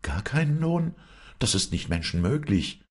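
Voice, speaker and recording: A man's voice: fairly low pitch, big pitch swings, unhurried, breathy edge, voice pushed up, full character voice. A man voicing a bossy, indignant country squire's wife, screeching and scolding in a storybook reading. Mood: astonished, suspicious.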